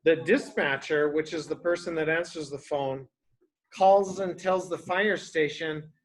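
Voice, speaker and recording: A male early education teacher reads a picture book aloud to young children. He goes very slowly, explaining words in simple phrases. He often turns to the children with questions. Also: a little high, steady tone, rough recording.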